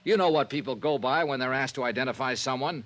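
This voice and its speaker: A man's voice: mid-range voice, fast, a bit bright, lightly acted. A man playing a wry, persistent insurance investigator, narrating and talking in clipped 1950s radio drama style. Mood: persuasive, insistent. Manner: punchy, clipped, conversational. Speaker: narrator and lead detective. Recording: old-time radio recording.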